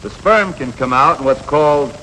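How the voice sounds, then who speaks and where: A man's voice: a little high, moderate pace, sharp tone. An actor playing a patient, matter-of-fact instructor of boys in a 1950s educational film, an American man who explains things plainly and reassuringly. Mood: frank, reassuring. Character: patient, matter-of-fact male coach teaching adolescent boys. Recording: noisy, reverberant 1950s film soundtrack.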